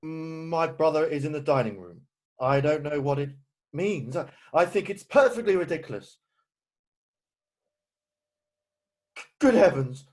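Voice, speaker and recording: A man playing an exasperated, hesitant Victorian gentleman in an amateur play read-through. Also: fairly high pitch, lively intonation, normal speed, close to an everyday voice. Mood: indignant. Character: exasperated, indignant Victorian gentleman, guardian of a young woman.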